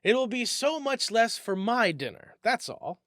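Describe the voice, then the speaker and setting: A man's voice: big pitch swings, unhurried, fairly bright tone, no echo, studio quality, full character voice. A man voicing a thin, shabby old man in a storybook, shrewd but kindly, crotchety and wry. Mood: dry, modest. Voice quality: shrill, whistling through front teeth. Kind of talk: storybook reading.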